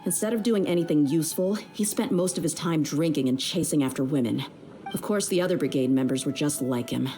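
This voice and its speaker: A woman's voice: low voice, fairly quick, dark tone, a little hiss or hum. A woman playing a tough, easygoing young mercenary in a video game, frank and self-assured. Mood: wry, frank. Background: background music.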